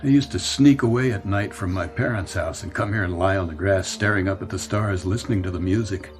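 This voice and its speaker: A man's voice: deep voice, natural voice. An actor playing a troubled, nostalgic grown man longing for his boyhood in a radio drama, earnest and wistful. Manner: earnest, wistful, halting when distressed. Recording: radio drama with music behind.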